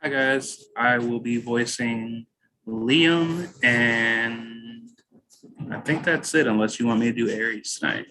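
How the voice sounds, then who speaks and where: A man's voice: mid-range voice, slow, pushed and bright. A man chatting casually as a voice actor at an online table read. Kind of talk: casual chat.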